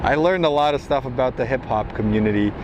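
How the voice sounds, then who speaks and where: A man's voice: medium pitch, fast. A man with an American accent, a native Serbian speaker, chatting casually and jokingly as he hosts a live stream. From United States. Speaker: livestream host. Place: outdoors near an airport. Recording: loud outdoor airport and traffic noise.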